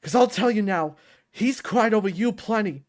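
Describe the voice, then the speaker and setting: A woman voicing a furious, defiant young male fighter in a visual novel, shouting, swearing and confrontational. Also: mid-range voice, animated, moderate pace, no echo, studio quality, natural voice.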